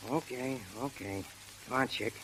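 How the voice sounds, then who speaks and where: An actor playing a streetwise, plain-spoken boxing buddy with slangy, ungrammatical speech in a 1930s radio drama. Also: male voice, mid-range voice, normal speed, sharp tone, lightly acted. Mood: resigned, bitter. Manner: slangy, ungrammatical working-class talk. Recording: hissy, noisy 1930s radio recording.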